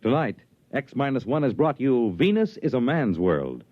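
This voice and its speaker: A man's voice: medium pitch, sing-song, moderate pace, dry recording. A man announcing a 1950s radio drama in a formal, dramatic style. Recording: dull old radio broadcast with music.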